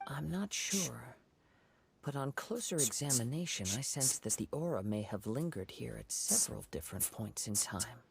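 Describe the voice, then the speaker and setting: A calm, thoughtful young samurai. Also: male voice, medium pitch, gravelly, background noise. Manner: measured, thoughtful, formal phrasing. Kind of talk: video game dialogue.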